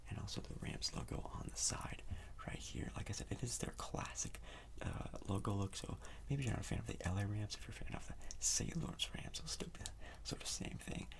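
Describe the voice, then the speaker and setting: A man performs a soft-spoken ASMR roleplay as a shop clerk, mostly in a breathy whisper. When his voice surfaces it is low and heavily creaky. He rambles casually through his sales patter. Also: a little fast. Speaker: ASMR performer playing a sports store clerk.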